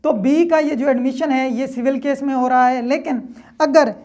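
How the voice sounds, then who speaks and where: High-pitched woman lecturing. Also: steady tone, normal speed, mellow. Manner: didactic, step-by-step, repeats points and checks understanding. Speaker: law lecturer. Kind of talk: explanatory lecture with examples.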